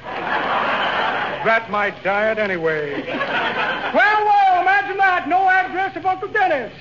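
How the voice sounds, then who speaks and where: An actor playing a shady, fast-talking con man with florid, muttering, chuckling patter in a 1940s radio comedy. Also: extremely high pitch, sing-song, over a noisy crowd, heavily acted. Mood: slyly amused. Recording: muffled, very noisy 1940 radio broadcast.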